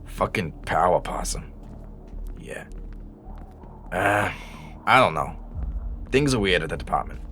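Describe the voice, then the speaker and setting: Veteran male cop, played by an actor, middle-aged, very low register, cynical, wry, profane, for a crime audio drama. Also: sing-song, a little slow, pauses often, pushed and bright, slight background noise, full character voice. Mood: jaded. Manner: wry, profane, world-weary. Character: weary, cynical veteran male police detective.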